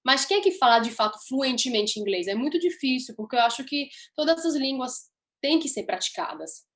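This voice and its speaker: A woman's voice: sits high, very animated, a little fast, fairly bright tone. Cheerful Italian-born young woman. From Italy. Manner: chatty and upbeat, frequent laughs. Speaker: singer. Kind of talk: casual interview answers.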